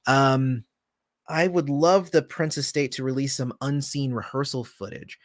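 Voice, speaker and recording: A man hosting a casual livestream, answering viewer comments in a relaxed, rambling way with lots of fillers, often trailing off softly. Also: mid-range voice, measured pace, no room sound. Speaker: channel host.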